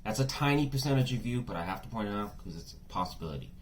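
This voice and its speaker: Slightly hoarse man chatting through a reading. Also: lowish voice, animated, very fast, noisy background. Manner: chatty, swears freely, frequent asides and fillers. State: losing his voice, a little under the weather. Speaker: tarot reader. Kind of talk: casual tarot reading monologue.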